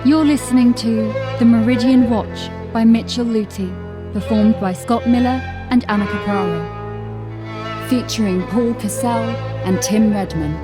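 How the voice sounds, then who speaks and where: A woman's voice: on the high side, a little slow. A woman announcer reading scripted titles and credits for an audio drama. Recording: loud string music behind.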